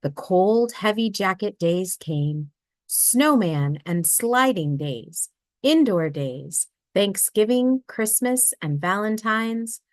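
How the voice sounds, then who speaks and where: A woman reading a children's picture book aloud in an expressive storybook manner. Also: medium pitch, slow pace, no room sound. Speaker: read-aloud narrator.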